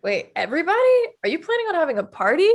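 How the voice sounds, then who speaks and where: A woman's voice: sits high, big pitch swings, racing. A woman reading the part of a cautious teenage girl who resists her friend's scheme, at a table read. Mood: wary. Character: wary, reluctant teenage girl.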